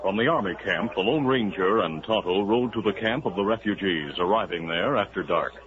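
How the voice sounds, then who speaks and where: Deep-voiced old radio narrator. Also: male voice, a little fast, music in the background. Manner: even, storytelling delivery. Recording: tinny old radio recording with faint hiss.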